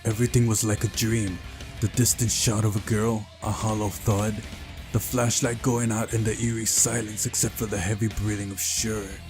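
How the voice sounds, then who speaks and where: A man's voice: lowish voice, flat delivery, normal speed, over music. A man reading a story aloud as dramatic narration, as in a visual novel playthrough.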